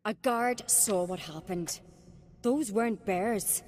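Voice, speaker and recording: An actress playing a bold, sharp-minded young noblewoman of a warrior clan in a fantasy video game, direct and confident. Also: mid-range voice, slow, no echo, studio quality. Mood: thoughtful. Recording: prominent game music behind the voice.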